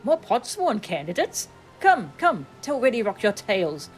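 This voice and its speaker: A woman's voice: medium pitch, sing-song, normal speed, soft background music, pitched above the performer's own voice, natural voice. A woman voicing a cheerful, welcoming little game creature with an effusive, ceremonious manner. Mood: delighted welcome. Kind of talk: reading game dialogue in character.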